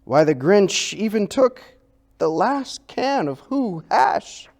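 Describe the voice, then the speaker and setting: A man reading a children's storybook aloud in an expressive, theatrical narrating style. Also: sits high, slow pace. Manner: theatrical, dramatic. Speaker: storybook reader.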